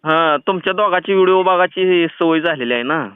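A man with a notably high, smooth voice and a rural Maharashtrian accent. He talks in a chatty, persistent, colloquial way, like a prank caller teasing someone. The sound is thin and telephone-like. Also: a little fast, no echo. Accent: rural Maharashtrian Marathi. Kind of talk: casual teasing phone chat. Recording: tinny, phone-like band-limited sound.

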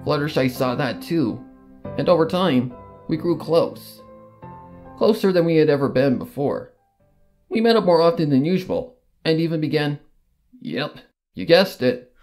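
A man's voice: on the high side, lively intonation, unhurried, pauses often, darkish tone, faint music behind. A man voicing a grieving, loyal female cartoon pony, solemn and confessional in a dramatic fan fiction reading. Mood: sorrowful.

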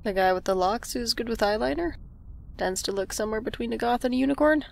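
Casting: self-deprecating, joking young friend for audio drama, actor, gravelly fry, middling pitch. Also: fast talker, a little hiss or hum, natural voice. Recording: music under the voice.

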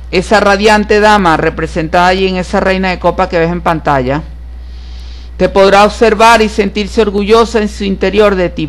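A man giving a solemn, repetitive horoscope reading over tarot cards, stressing each point as certain. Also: high-pitched. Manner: solemn, repetitive, emphatic about being certified. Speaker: tarot reader and astrologer.

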